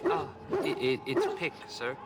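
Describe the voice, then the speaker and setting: An actor playing a nervous young elf servant in a video game, stammering and deferential. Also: male voice, mid-range voice, wildly swooping pitch, measured pace, very noisy.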